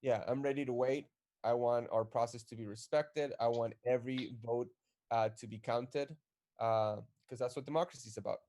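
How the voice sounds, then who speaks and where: Mellow-voiced man hosting a rally stream. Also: mid-range voice, moderate pace. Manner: energetic, rallying. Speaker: live stream co-host.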